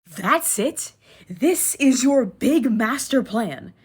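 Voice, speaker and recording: A woman's voice: a little high, animated, takes it slowly, slightly rough, voice pushed up, heavily acted. A woman voicing a fiercely caring, angry female friend in an audio drama. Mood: angry, desperate.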